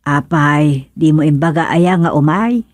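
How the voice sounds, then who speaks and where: Radio serye role: woman as nagging, inquisitive villager, Ilocano accent, slightly low pitch, dry sound. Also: unhurried, darkish tone, no echo. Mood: concerned, prying. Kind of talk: radio drama comedy acting in Ilocano.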